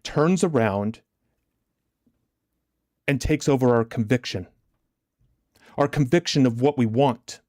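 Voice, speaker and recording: A man hosting a livestream as a motivational trading coach, deliberate and emphatic, his chest a little congested from a recent illness. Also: medium pitch, darkish tone, no echo, studio quality. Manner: deliberate, emphatic, repetitive rhetorical questions. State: chesty, getting over bronchitis, clearing throat.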